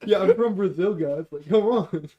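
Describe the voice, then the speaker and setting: A man's voice: high voice, normal speed, lo-fi sound. A man co-hosting a podcast in casual banter, with loose, slangy phrasing. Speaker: podcast co-host.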